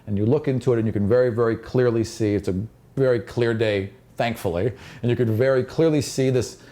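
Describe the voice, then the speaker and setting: A man's voice: mid-range voice, fairly even intonation, a little fast, dark tone. A man narrating an improvised fantasy tabletop game as game master, descriptive and conversational, often repeating phrases as he explains.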